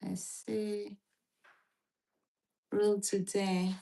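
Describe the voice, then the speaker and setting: Woman host on a casual live stream, slow and meandering, thinks out loud with a lot of "like"s. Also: mid-range voice, little pitch movement, gravelly. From Philippines. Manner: rambling, filler-heavy, thinking aloud while reading from a search. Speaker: livestream host.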